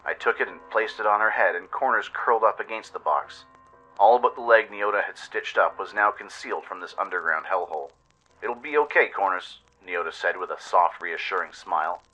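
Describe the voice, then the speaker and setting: A man's voice: sits low, fairly even intonation, brisk, pushed and bright, faint music behind, low-quality recording. A man narrating a scripted dramatic first-person story in radio-play style, with an intense, theatrical delivery.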